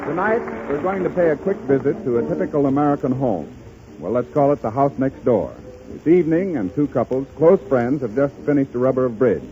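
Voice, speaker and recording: A man's voice: mid-range voice, lively intonation, brisk, background noise. A man working as an announcer, reading scripted advertising in a 1930s radio style. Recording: muffled 1930s radio recording.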